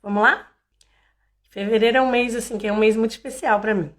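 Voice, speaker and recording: A woman from Salvador, hoarse, hosting a casual tarot live stream in a chatty, meandering way with many fillers and restarts. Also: a little high, fairly even intonation, fast talker. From Salvador, Bahia, Brazil.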